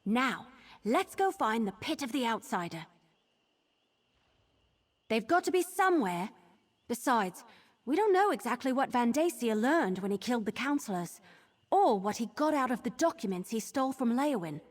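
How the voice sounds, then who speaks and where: An actress playing a brisk, wary adventuring companion in a video game, conversational and eager to get moving. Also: female voice, fairly high pitch, big pitch swings. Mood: determined. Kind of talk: scripted video game dialogue. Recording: music behind the voice.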